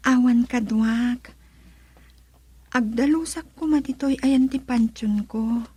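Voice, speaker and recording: A woman acting a mysterious, soft young woman in an Ilocano radio comedy drama, with an Ilocano Filipino accent. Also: on the high side, takes it slowly, slightly rough, no room sound. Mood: calm, mysterious.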